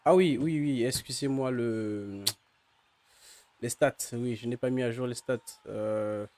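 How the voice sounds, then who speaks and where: A man's voice: medium pitch, slow pace, a little mellow, a little hiss or hum. A man with the flu, his voice not at its best, giving casual live commentary on a football match for his chat, hesitant and full of fillers. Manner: hesitant, many "euh" fillers and restarts.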